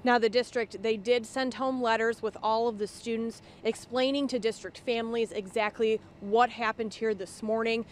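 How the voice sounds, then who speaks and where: A woman's voice: on the high side, fairly even intonation, moderate pace, few pauses. An American woman delivering a live local television news report outdoors. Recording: faint outdoor traffic noise.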